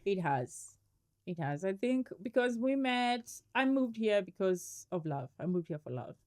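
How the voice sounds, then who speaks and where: A middle-aged woman from Kenya talks in an easy, conversational way as an interview guest. She tells her stories with lively energy and breaks into laughter often. Her voice sits at an ordinary mid pitch for a woman. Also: unhurried. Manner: laughs often, animated storytelling. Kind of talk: casual interview chat.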